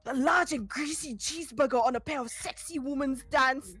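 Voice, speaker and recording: A woman's voice: high-pitched, normal speed, a bit bright. A woman with a Singaporean accent doing a dramatic comic reading on a live stream, giggly, sweary and often breaking up mid-sentence. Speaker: reader on a live fan fiction stream. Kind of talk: dramatic comedic reading and casual banter.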